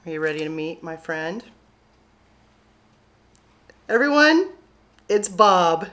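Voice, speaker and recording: A woman's voice: on the low side, very animated, takes it slowly, fairly bright tone. A woman hosting a children's story time, talking and reading aloud expressively to young listeners.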